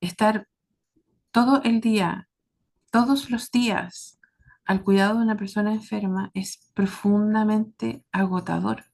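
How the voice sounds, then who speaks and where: A woman with a Chilean accent giving a reflective monologue to her listeners, speaking earnestly and deliberately in short, paused phrases. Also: medium pitch, steady tone, vocal fry, darkish tone. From southern Chile. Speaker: host of a series about caregiving.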